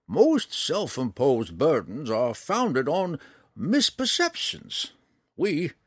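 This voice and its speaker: A man voicing a kindly, philosophical old ranger in an audiobook, speaking slowly in a measured, musing way. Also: mid-range voice, breathy edge, no echo, clean studio sound, pitched above the performer's own voice, full character voice. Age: older. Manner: slow, measured, musing delivery. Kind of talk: audiobook character voice.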